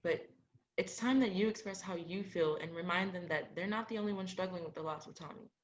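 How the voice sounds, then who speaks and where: A young woman acting in a student play as a caring, supportive best friend, gentle in manner. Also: on the low side, fairly quick, a little hiss or hum, natural voice. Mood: gentle, reassuring. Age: young adult. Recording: dull lo-fi audio.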